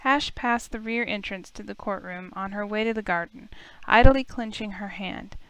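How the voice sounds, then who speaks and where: A woman's voice: medium pitch, normal speed, a bit bright, smooth, no echo, poor audio. A woman narrating a visual novel playthrough, reading the story aloud in a storytelling manner.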